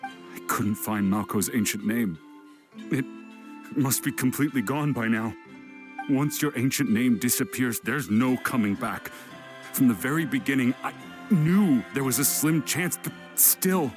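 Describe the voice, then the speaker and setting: An actor playing a grieving, regretful warrior in a video game, with a measured, weary and reflective delivery. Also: male voice, mid-range voice, music in the background, natural voice. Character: grieving, regretful adult male warrior, sombre and steadfast.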